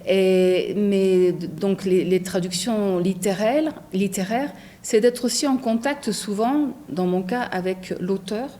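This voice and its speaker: A middle-aged woman from France, a translator, answering interview questions thoughtfully with many pauses and a habit of asking "non?". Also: mid-range voice, dark tone. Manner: thoughtful, many fillers, frequent tag "non?".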